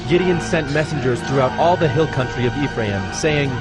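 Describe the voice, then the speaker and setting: Guy narrating a dramatized bible, mid voice, solemn storytelling, music clearly playing behind. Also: medium pitch, fairly quick.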